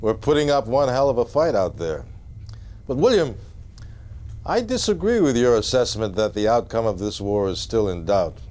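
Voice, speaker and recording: An actor playing a self-assured politician in a video game cutscene, speaking in a polished, emphatic, oratorical way. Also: male voice, mid-range voice, sing-song, moderate pace, darkish tone, close to an everyday voice. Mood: confident. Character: smooth, self-assured male politician, acting military director.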